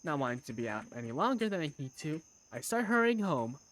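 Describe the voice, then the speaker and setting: A woman's voice: sits low, sing-song, a little fast, no echo. A woman voicing an easygoing young male bar waiter who narrates his day in a visual novel fan dub. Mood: easygoing, wry.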